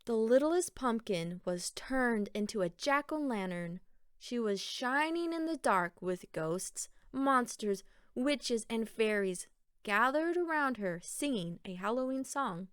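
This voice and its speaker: A woman narrating a children's picture book aloud in a deliberate, expressive storytelling manner. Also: on the high side, clean tone, no echo, clean studio sound.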